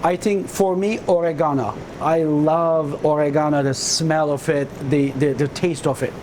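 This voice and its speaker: A man from Turkey with a Turkish accent, a hospitality dean answering quick interview questions earnestly and often repeating words as he searches for phrasing. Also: on the high side, steady tone, darkish tone, slight background noise, poor audio.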